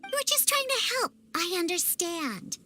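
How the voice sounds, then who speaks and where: Feisty little anime game girl, bright and really high, huffy but kind. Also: very animated, moderate pace, faint music behind, exaggerated voice. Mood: defensive, softening. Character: feisty, proud, grudgingly kind young girl. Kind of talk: anime-style video game voice acting.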